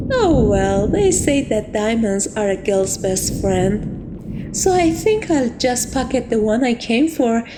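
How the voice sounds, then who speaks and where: Slow, high-voiced teasing catgirl thief acted by a woman. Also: sing-song, background noise. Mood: teasing, coy. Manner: teasing, coy, theatrical, affectionate. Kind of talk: romantic ASMR roleplay.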